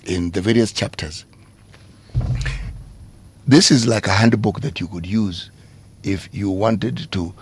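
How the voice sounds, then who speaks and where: A man co-hosting a radio talk show, articulate and deliberate, in a lecturing way. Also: fairly low pitch, breathy edge. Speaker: radio co-host.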